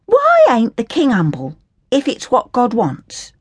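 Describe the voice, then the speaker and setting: A woman's voice: fairly high pitch, huge pitch swings, unhurried, slightly rough, dry recording, pitched above the performer's own voice, full character voice. A woman voicing a brash, scornful orphan girl. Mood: defiant. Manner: scornful and outspoken. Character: brash indignant young East End orphan girl.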